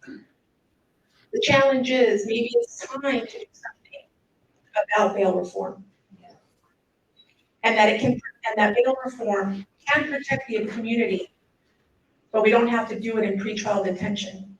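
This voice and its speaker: A middle-aged woman from Mexico speaks English in a slightly high voice, earnest and persuasive. She is a public defender at a podium taking questions. She sounds echoey and far from the mic, with faint room noise. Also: measured pace, pauses often. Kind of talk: public speech and audience Q&A.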